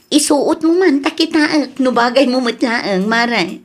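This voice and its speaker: A woman's voice: high voice, lively intonation, a little slow. A woman playing a cheerful, generous and reassuring younger woman in a comedy radio drama, with an Ilocano accent. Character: cheerful, generous, reassuring female friend and daughter.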